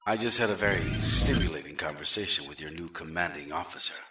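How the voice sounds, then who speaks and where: A man's voice: a bass voice, fast, roomy sound, distant mic, over loud music. An actor playing a coldly polite, threatening male general holding a prisoner. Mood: smooth menace. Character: menacing male general, smooth and patronizing.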